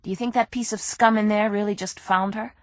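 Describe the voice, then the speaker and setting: A woman's voice: medium pitch, steady tone, fairly quick, no room sound, pitched above the performer's own voice, natural voice. Woman voicing a concerned female detective.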